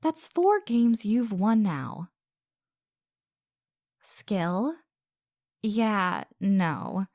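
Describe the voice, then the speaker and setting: A woman's voice: medium pitch, big pitch swings, drawn-out words, pauses often, a little mellow, no room sound, studio quality, exaggerated voice. A woman acting a caring, flustered young woman with a crush in an intimate roleplay audio drama, speaking hesitantly and earnestly with stammers. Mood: playfully exasperated.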